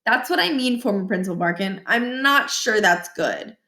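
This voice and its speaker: A woman's voice: medium pitch, animated, moderate pace, bright, forceful tone, echoey room. A woman voicing an earnest, polite and insistent schoolboy character in a children's storybook reading. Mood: worried.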